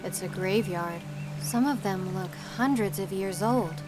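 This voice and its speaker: A woman's voice: medium pitch, a little slow, natural voice. An actress playing a guarded, matter-of-fact woman professor in an audio drama. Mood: sober. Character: guarded, practical female professor on an expedition. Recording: loud music behind the voice.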